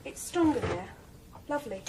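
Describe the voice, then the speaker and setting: A woman's voice: on the high side, very animated, moderate pace, noisy background. An actress playing a defiant, knowing young woman, a criminal's girlfriend, with a wry, challenging manner in a radio drama. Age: young adult. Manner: wry, challenging, world-weary.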